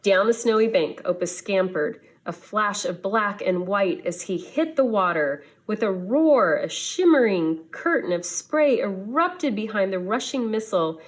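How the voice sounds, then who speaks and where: Female storybook reader, teacherly: unhurried, expressive delivery at a medium pitch, for young listeners. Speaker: schoolteacher reading to students. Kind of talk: children's storybook read-aloud.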